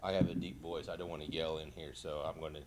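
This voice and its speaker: A man's voice: very deep voice, fast. Man addressing a meeting in an echoey room. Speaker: resident giving public comment. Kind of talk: informal explanatory public address. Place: indoor council meeting room. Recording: echoey room, steady background noise.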